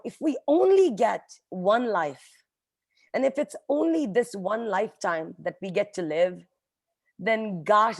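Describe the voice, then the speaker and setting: A woman whose native language is Hindi, giving an earnest, emphatic motivational talk to a team on a call as a guest speaker. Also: medium pitch. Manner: earnest, emphatic, addresses listeners directly as a team. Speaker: guest speaker, company vice president. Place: online group call.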